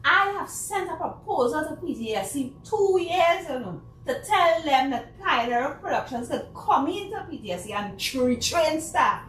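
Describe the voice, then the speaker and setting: A Trinidadian woman speaks with passion and emphasis, her voice pitched high. She laughs often as she makes her points. The sound is roomy and distant, with a faint hiss underneath. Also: animated, normal speed, few pauses, a bit bright. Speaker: guest, theatre producer. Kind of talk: casual talk show chat.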